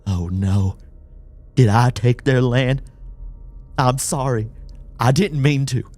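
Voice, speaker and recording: A man acting out a frightened, dazed drugged man, pitched up. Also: slow, darkish tone, lightly acted. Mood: anxious pleading. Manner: disoriented, pleading.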